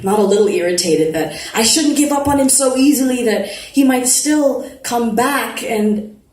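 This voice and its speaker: Woman narrating a novel in a roomy venue. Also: a little high. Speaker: reader of a first-person novel. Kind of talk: dramatic live reading, conversational first-person narration. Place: live literary event at an arts festival. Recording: roomy live venue, background noise.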